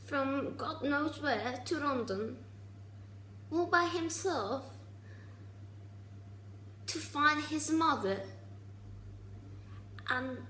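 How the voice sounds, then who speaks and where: A woman around twenty talking casually in a personal vlog, halting and restarting, with sarcastic asides. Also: high voice, a bit bright, reverberant, a little hiss or hum, rough recording. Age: 20s. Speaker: vlogger.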